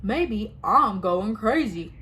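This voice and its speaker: A woman's voice: medium pitch, animated, takes it slowly, pushed and bright. A woman voicing a mean, hungry male lion who mutters to himself in a storybook reading. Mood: baffled.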